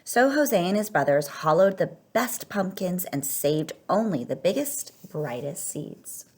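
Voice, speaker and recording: Female storyteller for a children's picture book read-aloud, lower register, expressive delivery with drawn-out emphasis.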